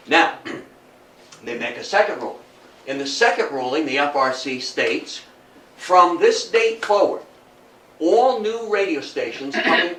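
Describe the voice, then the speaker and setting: An echoey, distant recording with faint noise carries a man in his seventies. His voice sits a little high for a man. He lectures on history like a storyteller, leaning on key phrases and posing questions to his listeners. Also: a bit bright. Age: 70s. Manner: emphatic, storytelling, rhetorical questions. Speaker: guest lecturer on radio history. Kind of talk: public history talk to a live audience. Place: indoor room at a public talk.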